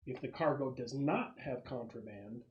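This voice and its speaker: A man's voice: medium pitch, moderate pace, dull audio, lightly acted. A man playing a plain-spoken military superior briefing a squad of marines in a tabletop game. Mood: firm briefing.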